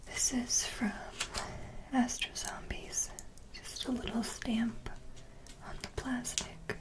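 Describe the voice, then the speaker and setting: A woman host giving an ASMR show-and-tell in a soft, breathy whisper, with a gentle, halting delivery. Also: a little high, fairly even intonation.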